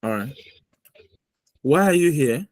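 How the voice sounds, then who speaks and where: A man's voice: on the high side, lively intonation, takes it slowly, a little mellow, no echo. A man running a mock visa interview on a video call, giving firm, lecturing feedback and repeating his points for emphasis.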